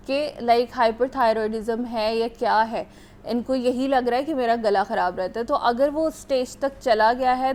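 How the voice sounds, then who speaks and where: A woman doctor with a Pakistani Urdu accent speaks on a studio health show. She explains step by step and mixes English words into her speech with plenty of fillers, and her voice sits slightly high. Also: a little mellow, slight background noise.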